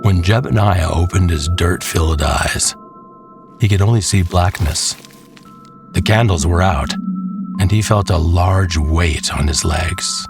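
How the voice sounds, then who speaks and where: A man narrating a scripted horror tale in a dramatic, measured way with pauses. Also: sits low. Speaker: storyteller. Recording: music behind the voice. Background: clear background music.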